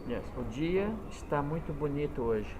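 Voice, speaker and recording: A man talks in patient, unhurried English, restarting his sentences as he explains. His voice sits slightly high for a man. Loud vehicle noise runs underneath the whole time. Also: animated. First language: Portuguese. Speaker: conversation partner. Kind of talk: casual chat.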